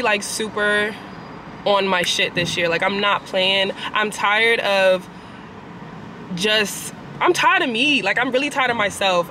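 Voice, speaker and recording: Nasal young woman chatting in a car. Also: mid-range voice. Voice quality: nasal from a cold. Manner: chatty, animated, swears casually. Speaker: vlogger. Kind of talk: casual vlog chat. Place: parked car at a traffic light. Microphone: camera on the dashboard. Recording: car with street noise behind.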